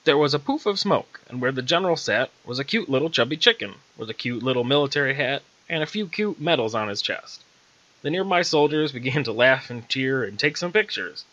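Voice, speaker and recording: A man's voice: medium pitch, expressive, fairly quick, bright, forceful tone. A man reading his own short story aloud as an amateur storyteller, on a slightly dull lo-fi recording. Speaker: storyteller, author.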